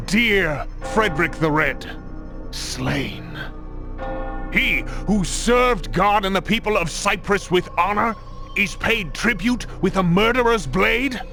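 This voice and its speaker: An actor playing a pompous, menacing Templar commander in a video game, scornful and theatrical with formal oratory. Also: male voice, high-pitched, expressive, slow, creaky, music in the background, exaggerated voice. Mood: outraged. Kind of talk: dramatic video game cutscene acting.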